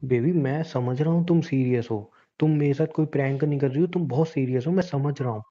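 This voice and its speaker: A young man playing an obtuse, teasing boyfriend in a staged phone-call argument, deadpan and feigning innocence, on a dull call recording. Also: mid-range voice, fairly quick, lightly acted. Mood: argumentative.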